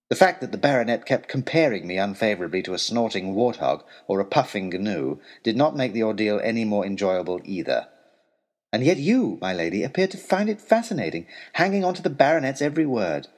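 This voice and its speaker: An actor playing a put-upon, wry male tutor, reading his pompous, wordy, aggrieved letter aloud in a comic audio story. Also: on the low side. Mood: exasperated. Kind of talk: scripted comic narration as a letter read aloud.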